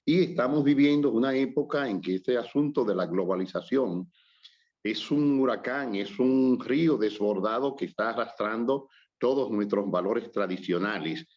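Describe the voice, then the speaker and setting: A man from the Dominican Republic with a Dominican Spanish accent, speaking reflectively in a relaxed conversation, often pausing with fillers. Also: medium pitch. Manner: reflective, hesitant with fillers and restarts. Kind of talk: casual conversation on culture and language. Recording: slightly dull lo-fi audio.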